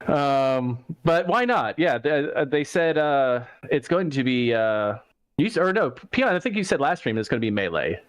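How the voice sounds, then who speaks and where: A man with a Southern US accent co-hosting a casual gaming chat in a rambling, halting, jokey way. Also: mid-range voice, lively intonation, no room sound. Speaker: gaming show co-host.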